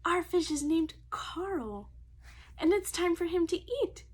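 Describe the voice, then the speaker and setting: Female preschool teacher for storybook reading. High-pitched, unhurried, expressive, talks straight to small children. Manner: expressive, addressing young children directly.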